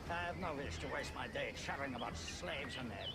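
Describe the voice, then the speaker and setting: An actor playing a greedy, haughty older merchant with a theatrical, sweeping delivery, in a game cutscene. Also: male voice, medium pitch, animated, brisk, very noisy, lightly acted. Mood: boastful. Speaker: wealthy slave-trading merchant. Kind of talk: video game cutscene acting. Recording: loud orchestral game score behind.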